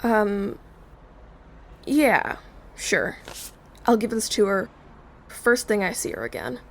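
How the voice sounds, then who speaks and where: An actress plays a wary young woman in an audio drama. Her voice sits at a medium pitch, and she speaks in a guarded, matter-of-fact way, as if weighing whom to trust. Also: slow pace. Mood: defensive, guarded. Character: wary, questioning young woman, the manager's daughter. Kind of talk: naturalistic audio drama acting.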